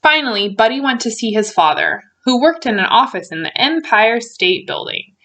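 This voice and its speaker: A woman reading a children's storybook aloud in an expressive way, stretching out key words for emphasis. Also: a little high, moderate pace.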